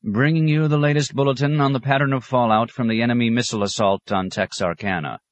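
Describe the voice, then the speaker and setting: Urgent emergency-network newsreader, played by a male narrator, formal and crisp, pitched up, audiobook drama. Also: fairly even intonation, fast, natural voice. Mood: urgent, official. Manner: formal, crisp bulletin delivery. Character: urgent male radio emergency news announcer. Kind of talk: radio news bulletin.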